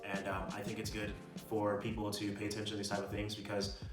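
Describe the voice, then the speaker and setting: A man's voice: lowish voice, completely flat delivery, quick pace. A young man giving casual motivational advice in an informal, slangy way, often checking in with a "right?". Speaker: video host giving self-improvement advice. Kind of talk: casual motivational talk to camera. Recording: roomy echo, distant mic, music behind.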